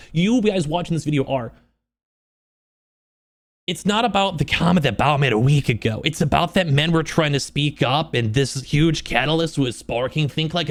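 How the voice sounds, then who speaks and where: Male streamer, slightly high-pitched, emotional and near tears; halting, earnest, unscripted personal vlog delivery. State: emotional, upset, close to crying. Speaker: streamer and video creator.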